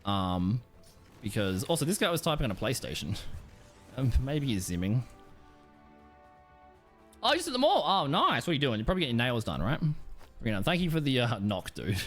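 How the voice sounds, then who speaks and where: A male game streamer talks in a medium-pitched voice that swings up and down in a lively way. He rambles bluntly and casually to his viewers, using plenty of gamer slang, with music playing behind him. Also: fairly quick, long pauses. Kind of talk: casual gaming commentary and chat with viewers.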